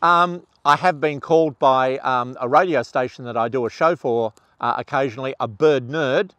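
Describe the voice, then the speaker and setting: An older man, an ornithologist talking to camera outdoors on a lapel mic in a relaxed, conversational way with fillers. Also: medium pitch, moderate pace, no echo. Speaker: ornithologist and presenter. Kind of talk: explainer talk to camera. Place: outdoors among trees.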